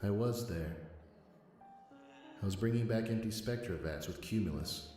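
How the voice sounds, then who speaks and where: A man's voice: fairly low pitch, moderate pace, warm tone, close to an everyday voice. A man playing a concerned, reproachful male pony co-worker in an audio drama, folksy and earnest, in heavy echo. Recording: very echoey, faint music behind.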